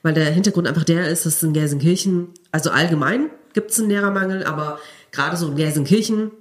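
Low-voiced young woman telling stories. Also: fast talker. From Cologne, Germany. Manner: storytelling, laughs while telling. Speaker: podcast guest, teacher. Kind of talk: casual podcast chat.

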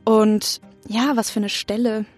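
A woman's voice: medium pitch, level tone, a little slow, no echo, soft background music. Young woman chatting, close dry recording. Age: young adult. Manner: informal, anecdotal. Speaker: interview guest, acting student. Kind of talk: casual conversational interview answers.

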